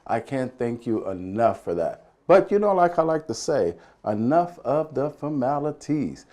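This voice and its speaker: A man's voice: medium pitch, sing-song, a little slow, mellow. A man hosting a podcast interview, asking questions and encouraging his guest with frequent affirming murmurs. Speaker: podcast host.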